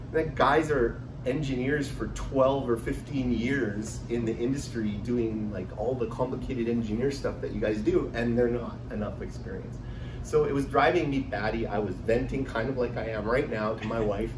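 A man's voice: medium pitch, distant mic. A middle-aged man giving an informal startup talk at a meetup, conversational and full of fillers and restarts. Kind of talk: informal presentation to an audience. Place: conference room with projector screen. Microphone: far camera across the room. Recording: echoey room recorded from afar, background hum.

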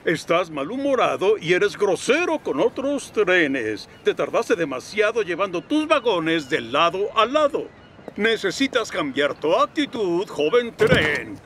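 A man's voice: high voice, big pitch swings, unhurried, fairly bright tone, slight background noise, full character voice. A man playing a stern, pompous middle-aged railway controller in a cartoon, scolding with authority in a Latin American accent. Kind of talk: cartoon voice acting.

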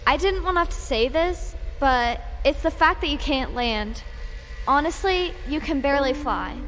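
A woman's voice: high-pitched, lively intonation, moderate pace, fairly bright tone, big-room sound, faint music behind. A woman in an audio drama playing a cautious, stubborn shuttle engineer, exacting and argumentative. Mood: cutting.

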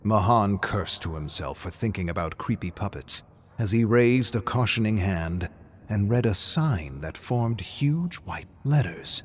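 Low-voiced man narrating dramatically. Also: lively intonation, measured pace. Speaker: narrator reading game lore. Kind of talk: dramatic scripted story narration.

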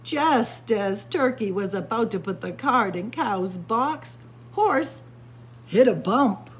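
Animated, higher-pitched woman reading a picture book. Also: slight background noise. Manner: animated, deliberate storytelling. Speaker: library storyteller. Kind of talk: expressive picture-book read-aloud for children. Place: indoor room with bookshelves, library.

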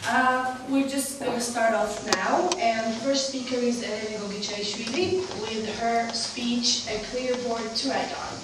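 A young woman hosting a speaking contest, announcing the first speaker in a friendly, brisk manner. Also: fairly high pitch, steady tone. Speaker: competition host. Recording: echoey room from far off, hiss.

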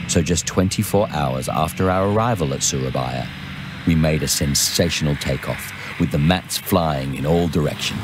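A man reading a first-person memoir as scripted narration, with measured, deliberate phrasing. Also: extremely low pitch. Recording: music behind the voice. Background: music.

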